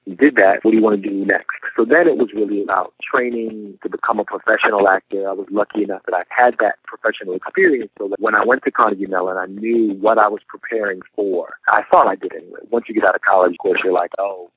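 A man's voice: fairly low pitch, fairly even intonation, husky, dry recording. Man telling stories over a tinny line. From New York, raised in Philadelphia. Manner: thoughtful, unhurried storytelling with small restarts. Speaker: guest actor and singer. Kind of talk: reflective interview answers.

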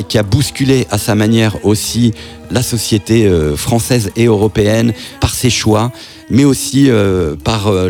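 A man talking in an interview, pausing often with fillers and restarting his sentences as he speaks. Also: fairly low pitch. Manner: hesitant, many fillers and restarts. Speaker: festival programmer. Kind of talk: interview soundbite.

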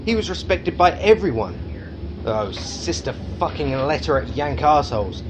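An actor playing a crude, megalomaniac British arms company boss in a satirical audio drama, domineering, sarcastic and sweary. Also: male voice, a little high, sing-song, normal speed, background noise. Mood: bitter, ranting.

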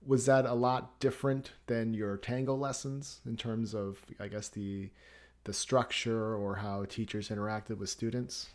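A man's voice: lowish voice, flat delivery, measured pace. A man hosting a podcast interview, introducing his guest and prompting her with attentive questions and frequent murmured agreement. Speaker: podcast host.

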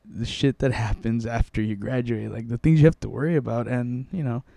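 A man's voice: medium pitch, fairly even intonation, brisk, gravelly, mellow, dry recording. A young man from Mexico, telling his story in a casual interview, rambling with many restarts and fillers. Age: young adult.

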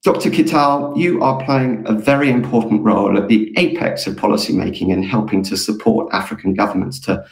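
A low-voiced man hosts an interview, his tone gravelly with a touch of fry. He talks in a measured, articulate and deliberate way, and the room around him rings with a noticeable echo. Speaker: podcast host. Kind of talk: formal interview introduction.

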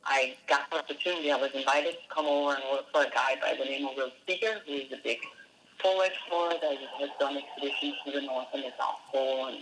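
A man's voice: a little high, a bit bright, slight background noise, rough recording. A man from Denmark, a dog musher, answering interview questions in a hesitant way with many fillers and restarts. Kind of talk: casual interview.

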